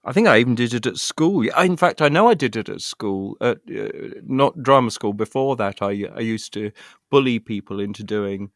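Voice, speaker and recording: A man's voice: medium pitch, expressive, moderate pace, no echo, clean studio sound. A middle-aged British man chatting casually as an interview guest, self-deprecating and thinking aloud as he rambles. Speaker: guest, actor and writer.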